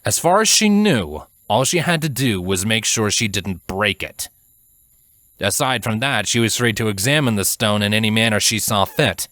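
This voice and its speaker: Low-voiced man narrating a story. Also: expressive, moderate pace, a bit bright, dry recording. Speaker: fan fiction narrator.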